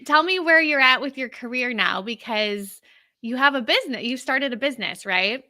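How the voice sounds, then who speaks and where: A woman hosting a live-stream interview in an excitable, gushing manner. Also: sits high, moderate pace. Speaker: host interviewing class members.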